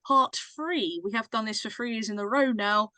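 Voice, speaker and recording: A woman's voice: fairly high pitch, normal speed, sharp tone, dry recording. A woman hosting a casual group chat, introducing and steering the conversation. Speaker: host.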